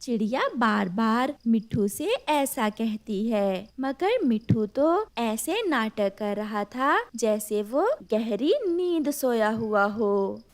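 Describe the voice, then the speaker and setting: A woman narrates a cartoon story in a scripted storybook style. Her voice is fairly high. She takes it very slowly, line by line. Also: lively intonation.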